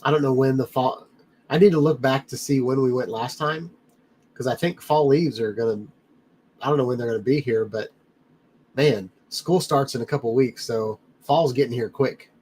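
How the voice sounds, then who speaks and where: A man's voice: medium pitch, normal speed, a little mellow, a little hiss or hum, poor audio. Congested man chatting on a livestream. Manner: rambling, friendly, addresses viewers by name. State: congested, blocked-up nose. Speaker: livestream host reading chat. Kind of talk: casual live chat. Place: home studio.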